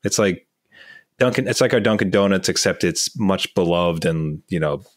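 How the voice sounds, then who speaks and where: A Canadian man with a Canadian accent, co-hosting a casual gaming podcast and telling animated stories. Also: sits low, moderate pace, dry recording. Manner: animated storyteller, does voices for people in his stories. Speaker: podcast co-host. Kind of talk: casual chat.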